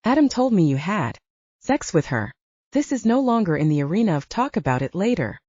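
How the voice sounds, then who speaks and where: A woman's voice: fairly low pitch, big pitch swings, brisk, a little mellow, breathy edge, no echo, lo-fi sound. A woman voicing a commanding, exasperated older brother, an alpha werewolf, with a stern, patronizing manner in an audiobook.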